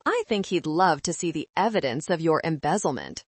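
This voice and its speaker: A woman voicing a calm, smug man in a story, teasing and self-assured. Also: low voice, brisk, breathy edge, no echo. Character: calm, smug, grinning male partner.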